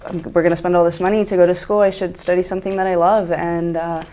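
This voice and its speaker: A woman's voice: fairly low pitch, level tone, fast, dark tone. A woman in her twenties from Egypt, talking earnestly and enthusiastically as a guest in a casual talk show conversation. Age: 20s.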